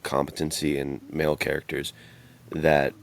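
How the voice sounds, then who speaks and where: A man's voice: a bass voice, completely flat delivery, moderate pace, gravelly, a little hiss or hum. A man co-hosting a podcast, chatting about adventure fiction in an enthusiastic, slangy, bro-ish way. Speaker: podcast co-host.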